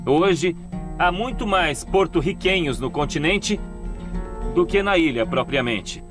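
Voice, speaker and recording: A man voicing a show host in a dubbed documentary, narrating and asking questions in a measured, grave, explanatory way. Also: fairly high pitch, lively intonation. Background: background music.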